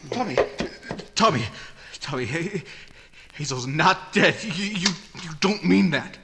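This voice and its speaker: A man's voice: fairly high pitch, lively intonation, a little slow, gravelly, heavy background noise. An actor playing a distraught, devoted young man, urgent and pleading, in old-time radio style. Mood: shocked, disbelieving.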